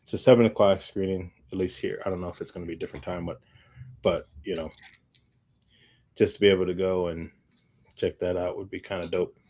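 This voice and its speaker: A man with a fairly low voice talks casually as a podcast co-host. He feels his way through sentences in a loose, slangy manner, often circling back as he goes. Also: fairly even intonation, brisk, long pauses, a little mellow. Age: adult, parent of young child. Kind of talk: casual chat.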